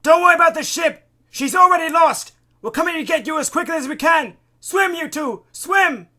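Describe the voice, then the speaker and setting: Man voicing urgent crewman, high loud calls. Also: expressive, moderate pace, heavily acted. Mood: urgent encouraging. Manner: calling out loudly. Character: urgent, loyal male crewman calling to rescue friends.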